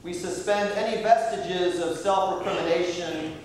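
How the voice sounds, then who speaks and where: A middle-aged American priest preaching in a high voice with a measured, unhurried delivery. He is heard from far across a large church, his words ringing with heavy echo. A faint hiss sits under the sound. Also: steady tone. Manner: measured preaching, reading quotations slowly. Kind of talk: sermon. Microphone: far camera at the back of the church.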